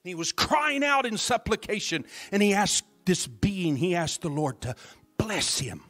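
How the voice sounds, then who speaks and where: Minister preaching slow and dramatic, kinda high voice, drops to whispers, calls out to the church. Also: male voice, animated, fairly bright tone. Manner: calls out to the congregation. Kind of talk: sermon. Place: church service.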